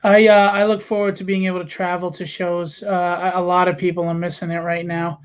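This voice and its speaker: A man hosting a casual interview over a video call, relaxed and affirming, greeting viewers by name. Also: sits high, brisk, crowd noise. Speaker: podcast host. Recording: dull lo-fi call audio.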